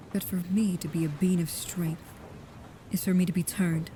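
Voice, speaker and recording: Over clearly audible background noise comes a woman acting as a female vampire protector in an ASMR roleplay. Her voice is on the low side, soft and intimate, often sinking to a whisper. She sounds devoted and proud yet guarded, and speaks in slow, halting phrases. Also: lightly acted. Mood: bitter.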